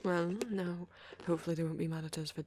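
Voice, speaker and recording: A man doing a soft, often whispered ASMR roleplay as a shy, gentle young vampire boyfriend, rambling hesitantly in a breathy, husky voice. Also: fairly high pitch, little pitch movement, fast, slight background noise.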